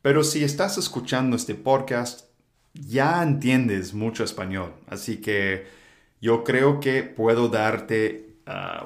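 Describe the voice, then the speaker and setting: Unhurried low-voiced man, echoey. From United States. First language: English. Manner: relaxed, thinking aloud with fillers. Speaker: podcast host. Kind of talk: solo podcast monologue for learners.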